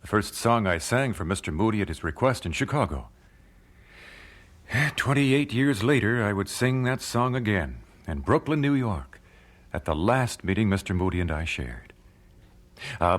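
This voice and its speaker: An actor playing an earnest, courteous gospel singer in an audio drama, polite and modest. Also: male voice, sits low, expressive, moderate pace. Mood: reflective, earnest. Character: earnest, courteous male gospel singer telling his story. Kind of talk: audio drama acting with reminiscing narration.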